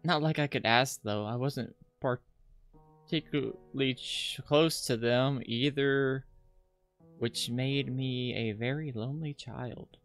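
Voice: mid-range voice, slow pace. A streamer reading game text aloud with casual asides, stumbling over words now and then. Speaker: host of a visual novel playthrough. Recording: music behind the voice. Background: game music.

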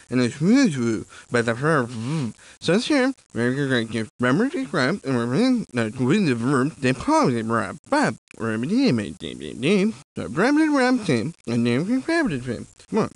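A mumbling man from a life simulation game. He babbles in repeated scraps, with an animated, swooping middle-pitched voice. The recording is dry. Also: no echo. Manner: fragmented, repetitive, mumbling. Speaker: voice performer for game character samples. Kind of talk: improvised mock conversation for a simulation game.

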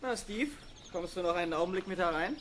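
A man's voice: high-pitched, fast talker, lightly acted. A man playing an easygoing astronaut in a German radio play, informal and coaxing in manner. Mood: cheerful. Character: easygoing male astronaut fond of his robot. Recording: reverberant radio play with background noise.

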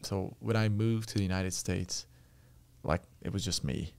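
Gravelly Turkish-accented man in his thirties. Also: low-pitched, a little slow. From Turkey. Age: 30s. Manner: relaxed, chatty storytelling with frequent fillers. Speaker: podcast guest. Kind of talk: casual interview chat. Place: small podcast studio. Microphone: studio boom mic with headphones.

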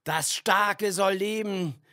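A man's voice: high voice, slow pace, pushed and bright, no echo, studio quality, full character voice. An actor playing a grandiose, megalomaniac leader declaiming his new world order in a radio play. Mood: fervent.

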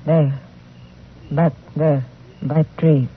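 Fearful, stubborn frontier wife, very slow broken English, tinny old radio recording. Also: male voice, on the high side, flat delivery, a little hiss or hum. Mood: reluctant, sad. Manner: halting broken English, terse. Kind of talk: old-time radio western acting.

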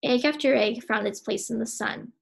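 High-voiced young woman reading a storybook. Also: moderate pace, creaky, breathy edge. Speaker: teacher reading aloud. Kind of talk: storybook read-aloud.

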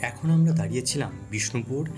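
A man's voice: medium pitch, expressive, fast talker, over music. A man from West Bengal, India, narrating a travel vlog in a fluent, lightly humorous storytelling manner. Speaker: travel vlogger.

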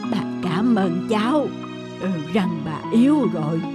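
An actress playing a kindly, frail old grandmother in a cartoon, speaking gently and without hurry. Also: mid-range voice, expressive, a little slow, natural voice. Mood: gentle, doting. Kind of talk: animated moral-tale dubbing. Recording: loud music under the voice.

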